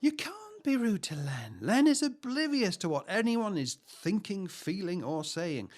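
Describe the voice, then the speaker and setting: An actor playing a talkative young 1950s husband in a naturalistic audio drama, dry and exasperated in manner. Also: male voice, sits high, big pitch swings, heavily acted. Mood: wryly exasperated. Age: young adult. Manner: dry, exasperated, deliberate repetition for comic effect.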